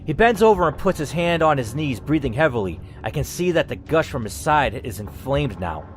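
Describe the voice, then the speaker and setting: Animated man reading a spooky story. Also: mid-range voice, a little fast, a little hiss or hum. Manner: reacts out loud and swears while weighing choices. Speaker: host reading an interactive story. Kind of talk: dramatic reading with spoken choices.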